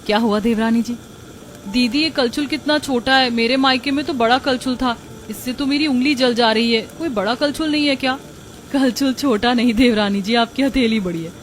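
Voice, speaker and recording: A woman's voice: a little high, moderate pace, a little hiss or hum, close to an everyday voice. A woman playing polite, homely young Indian daughters-in-law in a cartoon family story. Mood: friendly.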